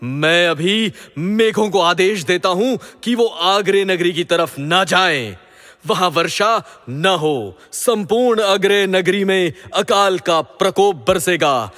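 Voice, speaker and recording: A man's voice: high-pitched, slow pace, fairly bright tone. An actor playing an arrogant, vengeful king of the gods in a mythological audio drama, menacing and boastful. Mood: furious, vengeful. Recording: long reverberant hall echo.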